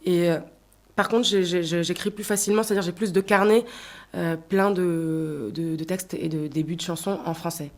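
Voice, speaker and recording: A woman in her thirties from Tunisia, a singer answering interview questions in a reflective way, pausing often and restarting her sentences. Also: fairly low pitch, fairly even intonation. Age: 30s. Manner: thoughtful, many hesitations and restarts. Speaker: singer-songwriter guest.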